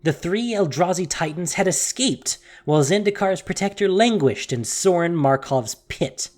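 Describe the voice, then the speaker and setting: Animated, theatrical story narrator of medium pitch. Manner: theatrical, with deliberate pauses and hushed emphasis. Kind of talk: dramatic scripted audio story narration. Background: music.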